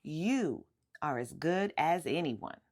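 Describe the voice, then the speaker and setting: A woman voicing a loving but firm mother in a children's storybook, speaking in an earnest, emphatic, unhurried way. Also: low voice, lively intonation, darkish tone, voice pushed down, heavily acted. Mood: firm, caring. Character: loving, firm mother giving moral advice.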